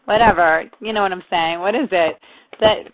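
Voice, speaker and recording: A woman's voice: medium pitch, moderate pace. A woman co-hosting a casual podcast chat, who talks in a rambling, chatty way and laughs at herself. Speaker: podcast co-host. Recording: tinny phone-like line.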